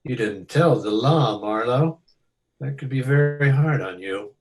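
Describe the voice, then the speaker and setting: A man's voice: mid-range voice, expressive, a little slow, darkish tone. A man playing a self-assured, menacing casino boss in a radio detective play, terse and commanding. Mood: coolly threatening. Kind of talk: old-time radio detective drama acting.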